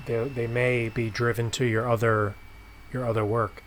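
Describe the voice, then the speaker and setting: A man's voice: mid-range voice, flat delivery, normal speed, a little hiss or hum. Man hosting a casual interview podcast. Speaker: podcast host interviewing a writer.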